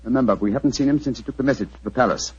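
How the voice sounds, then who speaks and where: A man acting a brilliant, self-assured English gentleman detective in a 1940s radio drama, with a British accent and precise, theatrical delivery. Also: mid-range voice, racing, breathy edge. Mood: brisk, grave. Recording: muffled, dull 1940s radio recording.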